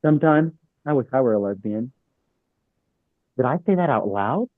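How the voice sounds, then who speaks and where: A man playing a droll, deadpan young office worker in a sitcom script read. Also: medium pitch, normal speed, no echo, natural voice. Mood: wry. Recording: thin, phone-like, dry sound.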